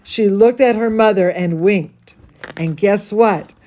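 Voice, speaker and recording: A woman reading a bedtime story to children as their dance teacher, theatrical and gushing, with drawn-out emphasis. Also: medium pitch, mellow.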